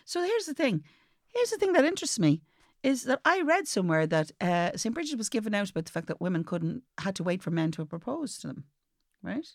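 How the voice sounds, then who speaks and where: A woman's voice: medium pitch, very animated, a little fast, dry recording, studio quality. A woman with an Irish accent chatting casually and comically as a podcast co-host, telling things in a chatty, anecdotal way.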